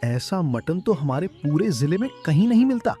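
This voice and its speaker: Cartoon village diner, acted by a man: very high male pitch, lively and admiring, light music behind. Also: normal speed. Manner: enthusiastic, praising. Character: admiring, appreciative male village dhaba customer. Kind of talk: Hindi animated story dialogue.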